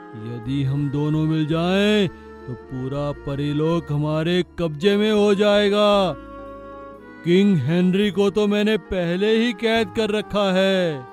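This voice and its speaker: A man's voice: sits high, a little mellow. A man voicing an evil, gloating sorcerer in a children's cartoon story, boastful and menacing, drawing out his words. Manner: slow, drawn-out, boastful menace with villain laughs. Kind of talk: Hindi children's cartoon voice acting. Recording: echoey, music behind. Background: music.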